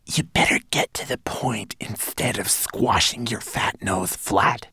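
A little storybook servant, acted by a man, pitched up and hurried. He is anxious and fawning, with a sharp hiss for those beneath him, in a dry recording. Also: huge pitch swings, dry recording, exaggerated voice. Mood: hissing, scornful. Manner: hurried, hissing, fawning to his master. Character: small male servant, anxious, servile, sharp with underlings.

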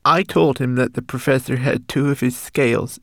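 A tiny, tearful homunculus servant, his voice pitched high and trembling as he stammers out his remorse, put on by a man reading aloud. The lines swoop widely and are set close and dry. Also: big pitch swings, a little slow, vocal fry, no echo, heavily acted. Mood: tearful confession.

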